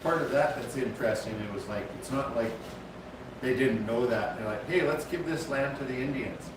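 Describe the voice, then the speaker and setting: A man of middle pitch answering in short, easygoing bits, heard from a distance. The room is echoey, and loud steady noise runs under his voice. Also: moderate pace. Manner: laid-back, brief replies. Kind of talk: casual chat. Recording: distant voice in an echoey, noisy workshop.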